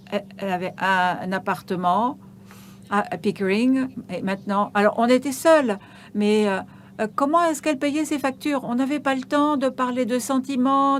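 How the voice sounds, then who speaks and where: A woman interpreting a stage conversation as it happens, her voice sitting a little high. She halts often, filling pauses and restarting phrases, and a faint noise runs underneath. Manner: halting, with many hesitations and restarts.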